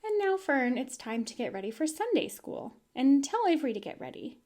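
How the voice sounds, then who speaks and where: A woman's voice: high-pitched, big pitch swings, fairly quick, darkish tone, pitched above the performer's own voice. A woman reading the part of a worried, proper farm mother who asks anxious questions.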